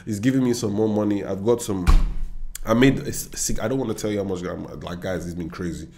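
A man's voice: fairly low pitch, brisk, dark tone. A young Nigerian man with a Nigerian accent talking viewers through forex charts in a confident, chatty way, recorded at his desk. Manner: confident, chatty, talks straight to viewers with asides. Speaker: forex trader and educator. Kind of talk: screen-share trading analysis. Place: indoor room.

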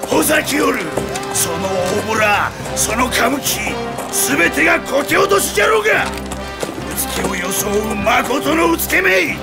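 Game casting: enraged samurai rival, male, gruff archaic bluster pitched very high, loud score behind. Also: sing-song, moderate pace, over loud music, heavily acted. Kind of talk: dramatic game cutscene acting.

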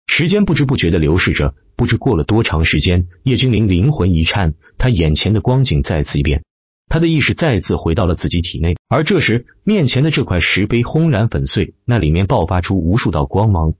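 Husky male storyteller with swooping intonation. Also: low-pitched, dry recording, lo-fi sound. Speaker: audiobook narrator. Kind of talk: scripted dramatic narration of fantasy web novel.

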